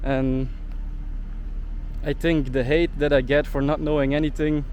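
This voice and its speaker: A young man rants to camera in an indignant vlog monologue, leaning on "like" as filler. His voice sits a little on the high side. Faint traffic hums in the street around him. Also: flat delivery, measured pace, a little mellow. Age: young adult. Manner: indignant, heavy use of "like" fillers. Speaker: travel vlogger.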